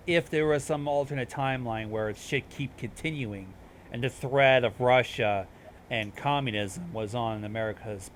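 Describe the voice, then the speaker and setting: A man's voice: medium pitch, slight background noise. A man hosting with casual explanatory commentary, informal, rambling and freely profane. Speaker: host explaining videos.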